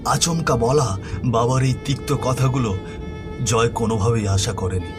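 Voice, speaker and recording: A man's voice: mid-range voice, moderate pace, over music. A man narrating a scripted horror story in a solemn, earnest manner.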